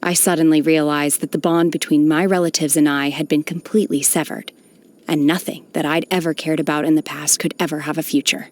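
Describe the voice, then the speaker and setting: An actress playing a solemn, aloof young woman trained by immortals in a video game, speaking in a formal, measured, restrained way with a touch of melancholy. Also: low voice, fairly even intonation, natural voice. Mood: somber, wistful. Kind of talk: scripted video game character voice lines.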